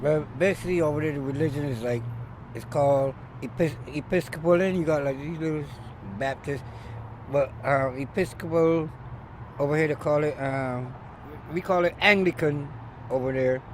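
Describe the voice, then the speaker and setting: Animated Barbadian man telling stories outdoors. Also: a little high, normal speed. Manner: animated storyteller, loud emphatic bursts. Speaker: interview guest, former barber. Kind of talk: casual street interview. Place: outdoors by a street with traffic. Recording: faint street noise outdoors.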